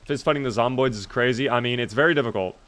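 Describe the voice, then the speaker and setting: A man's voice: mid-range voice, quick pace, fairly bright tone. A man streaming a game, chatting casually and answering his viewers while he plays; his voice was recently strained. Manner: chatty, reads and answers chat while playing. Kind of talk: casual live gameplay commentary.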